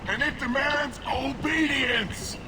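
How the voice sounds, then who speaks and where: An actor playing a zealous, menacing alien street preacher, declaiming bombastically in solemn, archaic apocalyptic sermon style for a video game. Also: male voice, very high voice, steady tone, takes it slowly, background noise. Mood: exhorting. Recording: loud music over the voice.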